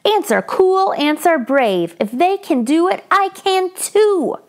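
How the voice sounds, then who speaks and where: A woman's voice: very high-pitched, big pitch swings, takes it slowly, voice pushed up, exaggerated voice. A woman voicing tiny, spirited storybook ants with an emphatic, sing-song delivery. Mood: cheerful chant.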